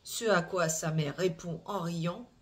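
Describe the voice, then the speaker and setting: Halting speaker full of filler sounds. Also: mid-range voice. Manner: hesitant, frequent "euh" fillers and restarts; measured, explanatory delivery with tag "hein". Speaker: true-crime channel host. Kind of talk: recounting a criminal case to viewers.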